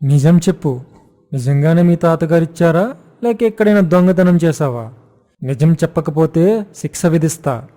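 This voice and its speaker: A man playing a stern, commanding king who passes judgement in an animated moral story. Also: a little high, moderate pace, close to an everyday voice. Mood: stern, questioning.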